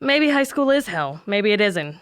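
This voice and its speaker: A woman's voice: medium pitch, sing-song, moderate pace, clean tone, close to an everyday voice. A woman playing a tough, confident, no-nonsense teenage girl monster hunter in an audio drama. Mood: cold resolve.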